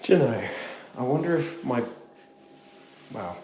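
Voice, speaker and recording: A man's voice: medium pitch, expressive, a little slow, warm tone. Chatty British man live-streaming. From Britain. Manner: chatty, reads and answers viewer comments. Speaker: vlogger. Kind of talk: casual live-stream chat while cleaning. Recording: roomy home recording with faint noise.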